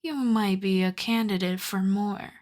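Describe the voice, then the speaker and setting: Woman playing a slow, seductive vampire countess. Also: mid-range voice, a little mellow, natural voice. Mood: seductive, teasing. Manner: slow, teasing, half-whispered, commanding endearments. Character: seductive, possessive vampire countess, once human, playfully menacing. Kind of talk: intimate ASMR roleplay, scripted.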